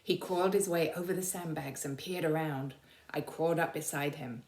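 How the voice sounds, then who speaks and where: A woman narrating a children's storybook aloud with dramatic, deliberate emphasis. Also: sits low, darkish tone, echoey room. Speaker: teacher reading aloud.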